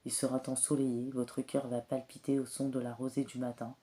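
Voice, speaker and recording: Soft-spoken woman with a cold, monotone. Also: a bass voice. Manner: soft, hesitant, many filler pauses. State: has a cold, clears throat often. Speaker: tarot and oracle card reader. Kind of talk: spiritual guidance reading.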